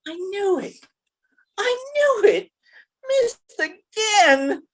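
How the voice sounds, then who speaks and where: A dim-witted gangster gunman from an old-time radio thriller, acted out by a woman, speaking in a high, swooping voice. The delivery is slow and stammering, that of an insecure, gullible crook who keeps hesitating. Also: very animated, exaggerated voice, rough recording. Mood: wailing frustration. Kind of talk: 1940s radio comedy-thriller acting.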